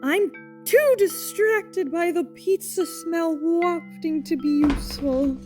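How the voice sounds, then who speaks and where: Woman playing a high-voiced, weary peacemaker wife. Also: slow pace, a little mellow, soft background music. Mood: firm, weary. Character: patient pregnant wife, firm but weary peacemaker. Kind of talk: radio play acting.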